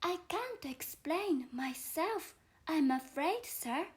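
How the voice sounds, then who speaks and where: A woman's voice: high-pitched, animated, takes it slowly, voice pushed up. A woman voicing a polite, puzzled young girl in a storybook reading, speaking earnestly and carefully. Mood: politely puzzled.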